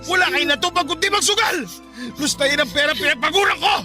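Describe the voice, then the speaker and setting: An actor playing a grieving, furious father who shouts and sobs accusations at his wife. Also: extremely high pitch, quick pace, music in the background. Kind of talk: audio drama acting.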